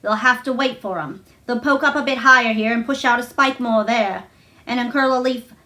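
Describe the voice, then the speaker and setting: A woman's voice: fairly high pitch, moderate pace, sharp tone. A woman voicing a surly, easily indignant old male gardener in a storybook, with curt, grunting dialect speech. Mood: matter-of-fact.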